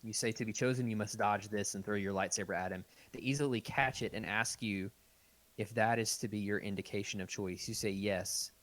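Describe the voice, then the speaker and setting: A man's voice: fairly low pitch, fairly even intonation, brisk, fairly bright tone. A man reading text adventure game narration aloud and chatting casually as a podcast host.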